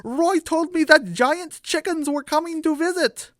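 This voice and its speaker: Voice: sits high, unhurried, no echo, studio quality, voice pushed up. A narrator voicing an anxious, easily startled male farm animal with a fretful, stammering delivery.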